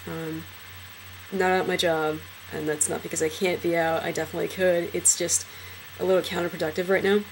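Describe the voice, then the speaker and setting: A young transgender man in a casual personal vlog, talking hesitantly with many fillers and restarts, his trained low voice deepened further by a cold. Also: flat delivery, brisk, a little hiss or hum, rough recording. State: voice lowered by illness.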